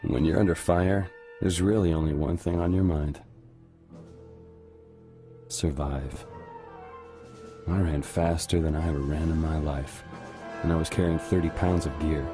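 An actor playing a weary, haunted young American soldier, narrating a war game in a brooding, measured way. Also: male voice, extremely low pitch, fairly even intonation, mellow, faint music behind.

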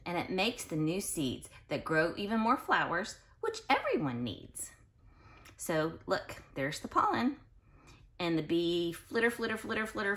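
Woman reading expressively to young children, swooping intonation. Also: mid-range voice. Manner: expressive, sing-song delivery for young children; pauses to explain and question listeners directly. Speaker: children's read-aloud host. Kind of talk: children's picture-book read-aloud.

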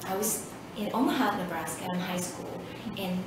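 A woman in her fifties, from Vietnam and a native Vietnamese speaker, telling her story in an oral history interview, hesitant and reflective with many pauses. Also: lowish voice. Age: 50s. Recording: echoey room, distant mic, background noise.

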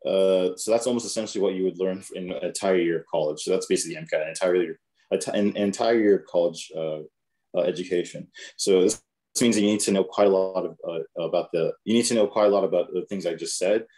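Very low-voiced man, halting presenter. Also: mellow. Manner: hesitant, many fillers and restarts. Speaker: test prep presenter. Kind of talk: casual presentation over video call. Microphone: video call.

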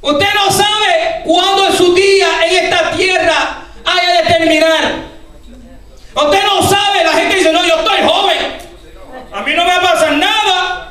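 An older man preaching a fervent sermon as pastor, often shouting and filling his speech with hallelujahs. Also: extremely high pitch, steady tone, slow pace. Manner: gestures broadly while preaching at a lectern. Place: church sanctuary, indoors, medium-sized. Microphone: handheld mic, filmed by a far camera. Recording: very echoey church hall, distant camera.

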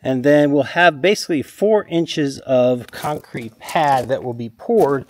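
Middle-aged man explaining, slightly higher-pitched voice. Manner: plain-spoken, instructional. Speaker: vlog host showing a home build. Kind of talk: casual explanatory walkthrough. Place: outdoors at a concrete foundation construction site. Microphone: handheld camera at arm's length.

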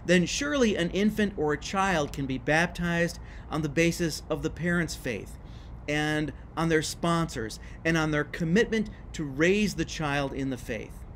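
A man's voice: on the high side, expressive, normal speed, fairly bright tone, a little hiss or hum. A middle-aged man giving a scripted church history lesson to camera on a screened porch, speaking emphatically and gesturing with his hands.

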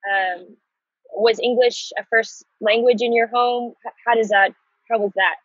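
A woman's voice: on the high side, steady tone, a little slow, fairly bright tone, slightly rough, no room sound. A young woman interviewing her guest on a video call, asking short friendly questions. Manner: friendly, asks short questions, laughs along. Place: indoors by a window. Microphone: laptop webcam on a video call. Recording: dull, dry laptop-mic sound.

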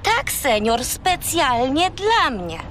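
A woman's voice: high-pitched, very animated, slow, fairly bright tone, slight background noise, heavily acted. An actress playing a bossy, exasperated young girl who scolds and corrects in a children's radio play. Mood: indignant.

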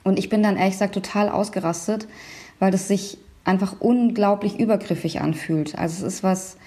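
A woman from Iran, a journalist guest on a podcast, answering questions candidly in an animated way, with fillers. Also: medium pitch, fairly quick. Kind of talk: interview answers.

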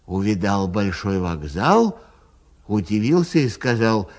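A man reading a children's rhyming poem aloud in a sing-song, lively way. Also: lowish voice, takes it slowly. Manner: lively, sing-song delivery with a recurring refrain. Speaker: narrator.

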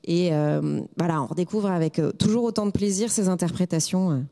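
A woman's voice: on the low side, a little fast, warm tone, long reverb tail. A middle-aged woman guest on a studio talk show, speaking with many fillers and restarts into a handheld mic. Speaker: guest, editorial director. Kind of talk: interview. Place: small studio set.

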